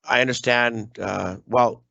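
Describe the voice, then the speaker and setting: A man, a school trustee, losing his voice and clearing his throat, asking polite formal questions in a meeting. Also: mid-range voice, steady tone, slow, pushed and bright, no room sound. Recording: dull lo-fi meeting audio.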